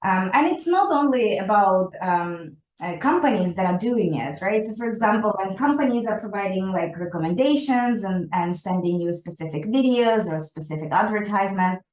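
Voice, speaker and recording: A woman's voice: medium pitch, animated, normal speed, darkish tone. Echoey woman, native Ukrainian speaker. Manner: thoughtful, many fillers and restarts, ends points with "right?". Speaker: guest scientist. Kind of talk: conversational interview answer.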